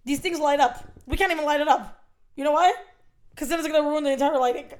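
Loud young woman podcast host, kinda high voice, laughs a lot, chatty storytelling. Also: fast. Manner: loud and animated, frequent bursts of laughter. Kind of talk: casual chat, storytelling.

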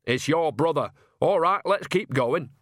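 A man's voice: on the high side, lively intonation, fairly bright tone, no echo, studio quality, voice pushed up. Man putting on a bossy old village woman. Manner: brisk, commanding.

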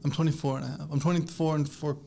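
A man's voice: on the high side, level tone, fast, vocal fry, dark tone. A man in his twenties in casual podcast chat, rambling with lots of fillers and restarts, laughing easily and getting flustered when teased. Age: 20s. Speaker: podcast co-host.

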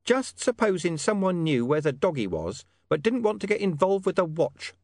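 Man putting on a high, shifty talking-dog informant. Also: sing-song, normal speed, darkish tone, no echo, clean studio sound, full character voice. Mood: sly, conspiratorial. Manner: streetwise, evasive, menacing slang. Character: shady, wheedling talking dog posing as mysterious informant.

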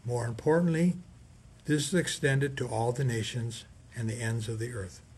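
A man with a husky, slightly rough voice at a middling pitch reads a scripted religious reflection. He speaks deliberately, setting phrases apart with pauses and leaning on key words for emphasis. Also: steady tone, darkish tone. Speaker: priest giving a scripture reflection. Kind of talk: scripted sermon reflection read aloud.

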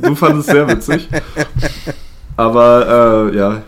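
Leicht erkälteter Mann, lockerer Plauderton. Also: male voice, medium pitch, measured pace. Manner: relaxed, laughing, many fillers. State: has a cold, slightly congested. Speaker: podcast host. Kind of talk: casual chat. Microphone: own home microphone setup.

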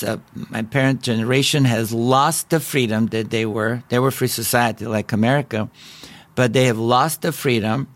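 A middle-aged man from Bulgaria with a Bulgarian accent, telling his personal story as a podcast host in an earnest, halting way with frequent restarts. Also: medium pitch, fairly bright tone. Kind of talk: personal testimony.

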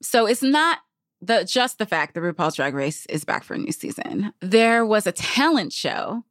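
A woman's voice: mid-range voice, very animated, moderate pace, fairly bright tone, no room sound, clean studio sound. A woman co-host chatting casually on a podcast, emphatic, slangy and freely profane. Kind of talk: casual conversational podcast chat.

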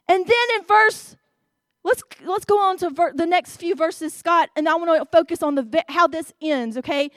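A woman with a Southern US accent preaching a sermon, stressing her words slowly and with emphasis. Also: very high voice, fairly bright tone, no echo, clean studio sound.

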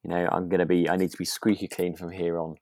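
A woman co-hosting a relaxed podcast chat, speaking in a tentative, conversational way. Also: extremely low pitch, quick pace, no echo, studio quality. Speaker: podcast co-host. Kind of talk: relaxed chat discussing a radio drama.

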